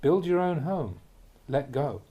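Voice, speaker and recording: A man reading a Buddhist teaching aloud in measured, deliberate short phrases, in the manner of a spoken audiobook. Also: fairly high pitch, animated, muffled recording. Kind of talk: read-aloud dharma talk, audiobook narration.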